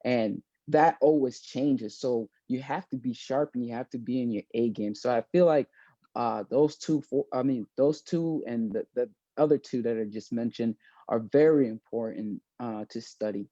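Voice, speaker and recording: A young man born in South Sudan and raised in Maine, speaking as an interview guest in a thoughtful, halting way, with many fillers and restarts. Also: medium pitch, dark tone, no room sound, dull audio. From born in South Sudan, raised in Maine, USA. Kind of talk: casual video-call interview.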